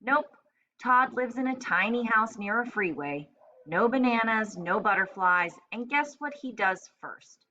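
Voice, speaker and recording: A grumpy pet parrot played by a woman reader tells its storybook diary with sarcastic, indignant flair. The delivery is bright and very animated, swinging from deadpan to exasperated, on a slightly dull recording. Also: on the high side, measured pace. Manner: deadpan, exasperated, dramatic complaining. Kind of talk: children's storybook read-aloud in diary form.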